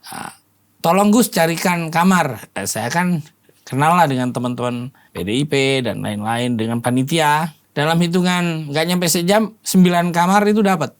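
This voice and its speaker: A man's voice: a little high, moderate pace. A man in his forties chatting casually as a guest, telling stories in an interview. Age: 40s. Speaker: podcast guest, political volunteer and former journalist.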